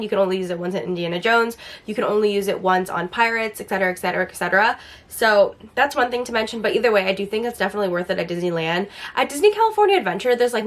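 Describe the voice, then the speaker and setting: A woman with a slightly raspy, hoarse voice from getting over the flu, chatting enthusiastically through a casual Q&A vlog with lots of "like" fillers. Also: mid-range voice, fairly bright tone. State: recovering from a flu, a bit hoarse. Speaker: lifestyle vlogger.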